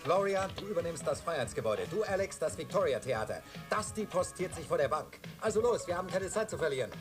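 An actor playing a resolute leader of a heroic team in a dubbed action cartoon, decisive and commanding. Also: male voice, on the high side, big pitch swings, fast, music in the background.

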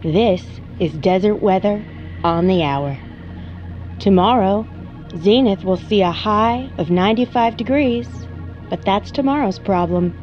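A woman's voice: medium pitch, a little slow, natural voice. Actress as gracious local radio weather lady, audio drama, folksy, soothing, muffled sound, faint music bed. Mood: gracious, calm. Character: gracious small-town female night radio weather announcer.

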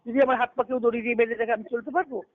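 A woman's voice: a little high, level tone, very fast, no room sound. A woman giving a devotional lecture on scripture over a conference call, teaching by repeating key phrases and answering her own questions. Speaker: spiritual discourse speaker. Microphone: conference call phone line. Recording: tinny narrowband conference phone line.

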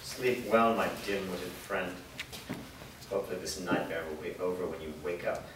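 A man in a staged reading playing a cautious, quietly cunning old father with a probing, placating manner. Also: low voice, moderate pace, close to an everyday voice. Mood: quietly resolute. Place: indoor performance room, seated before an audience. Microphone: far camera. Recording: distant mic, very echoey noisy room.

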